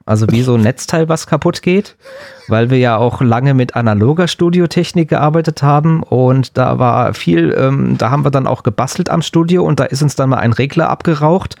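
A man's voice: medium pitch, moderate pace, vocal fry, darkish tone, dry recording. A man co-hosting a podcast, chatting casually and answering questions in a rambling, joking way. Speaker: podcast and radio co-host.

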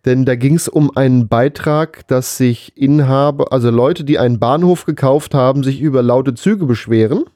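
A man's voice: mid-range voice, moderate pace, few pauses, dark tone, no room sound, clean studio sound. A man co-hosting a podcast, talking in a casual, conversational way. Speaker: podcast co-host. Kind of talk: casual chat.

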